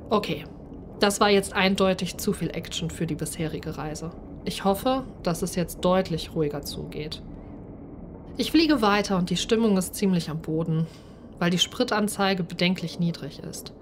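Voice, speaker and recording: A woman narrating a first-person story in an expressive, dramatised way. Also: on the low side, a little slow, slight background noise. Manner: expressive, acts out the character's speech. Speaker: narrator and player of a solo role-playing game.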